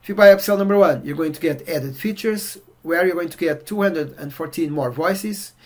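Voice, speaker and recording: A middle-aged man from Portugal with a Portuguese accent, walking through a software demo in a relaxed way with many fillers. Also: on the high side, moderate pace. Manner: relaxed, filler-heavy walkthrough. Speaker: software reviewer. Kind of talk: casual screen-share demo. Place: indoor room at home.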